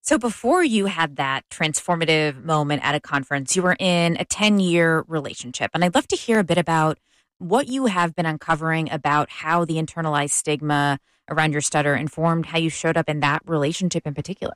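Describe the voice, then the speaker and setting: A woman's voice: sits low, fairly quick, no room sound. An American woman hosting a public radio interview, speaking in a polished, articulate way. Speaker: radio show host.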